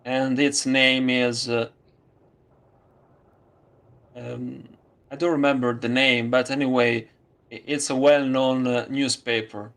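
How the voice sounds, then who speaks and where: A man from Italy talks in English, not his first language. He speaks slowly, feeling for each word with plenty of pauses and restarts. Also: medium pitch, level tone, a bit bright. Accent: Italian. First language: Italian. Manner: halting, many fillers and restarts. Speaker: student. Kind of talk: casual conversation.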